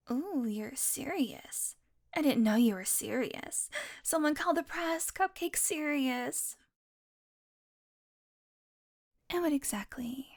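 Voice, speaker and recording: A sardonic vampire woman, acted by a female performer speaks in a fairly high voice. She is haughty and teasing, with exasperated sarcasm aimed straight at the listener, in the manner of an audio roleplay. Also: darkish tone. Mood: mocking, teasing.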